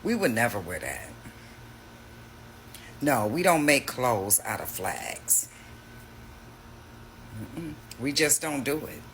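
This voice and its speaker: A man's voice: medium pitch, very animated, slow, pauses often, fairly bright tone, slight background noise, rough recording. A man hosting a reaction video, talking casually in a mocking, slangy way.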